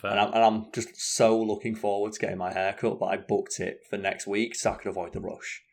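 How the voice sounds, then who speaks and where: A man's voice: fairly low pitch, little pitch movement, brisk, a little mellow. An English man co-hosting a live stream from home, chatting casually in a rambling way and swearing freely. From England. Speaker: co-host.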